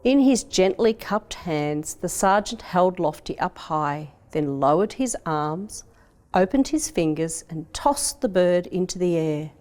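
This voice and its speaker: An Australian woman reads a picture book to children. Her voice sits slightly on the low side for a woman, and her delivery is measured and expressive. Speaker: education officer reading a picture book. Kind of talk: children's storytime read-aloud.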